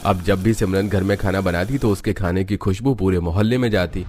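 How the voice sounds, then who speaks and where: A man's voice: sits low, steady tone, brisk, darkish tone, a little hiss or hum. A man narrating a scripted cartoon family story as a storyteller. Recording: music behind the voice. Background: music.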